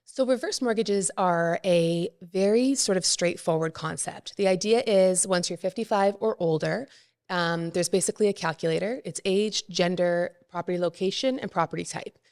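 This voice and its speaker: Woman guest expert, low pitch, calm explanatory podcast talk. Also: normal speed. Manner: explains plainly, with frequent fillers. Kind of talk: casual podcast interview.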